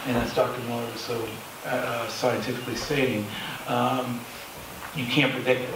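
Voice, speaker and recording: A man giving unscripted remarks as a clinician on a panel, halting, measured and serious. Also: mid-range voice. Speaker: panelist, clinician in private practice. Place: live panel event in an echoey room. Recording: echoey room, distant mic, clear noise.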